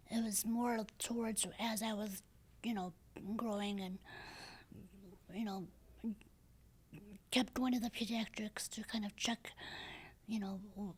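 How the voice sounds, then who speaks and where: Breathy, raspy woman in her thirties. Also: medium pitch. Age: 30s. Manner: soft-spoken, hesitant with many fillers and restarts. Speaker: podcast guest. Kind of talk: casual interview talk.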